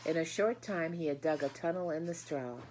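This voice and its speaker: A woman's voice: deep voice, a little fast, a little mellow, a little hiss or hum, low-quality recording. A woman reading a children's book aloud, speaking directly to her listeners with explanatory asides. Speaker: storybook reader.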